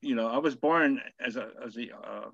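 A man's voice: mid-range voice, normal speed, vocal fry, fairly bright tone, no echo. Older male guest, from England, crude and easygoing, lo-fi dry audio. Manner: laid-back, crude, laughs easily. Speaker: guest musician. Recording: dull, dry, lo-fi sound.

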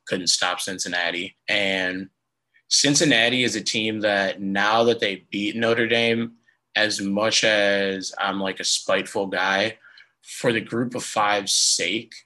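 A young man hosting a casual sports podcast, hoarse from losing his voice, rambling with lots of fillers. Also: fairly low pitch, steady tone, slow, bright, forceful tone, rough recording. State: hoarse, lost voice. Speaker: podcast host.

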